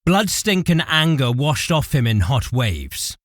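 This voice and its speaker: A man's voice: mid-range voice, very animated, a little slow, a bit bright, no echo, studio quality. A man giving a dramatic, scripted narration of a fantasy war story as its narrator.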